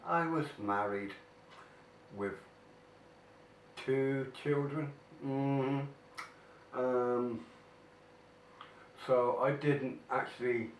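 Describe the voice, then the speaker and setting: An older man, talking casually through weekly questions while he has a cold, with many ums and mm-hmms. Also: mid-range voice. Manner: slow, chatty, frequent mm-hmms and ums. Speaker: vlogger. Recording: echoey room, mic at a distance, faint noise.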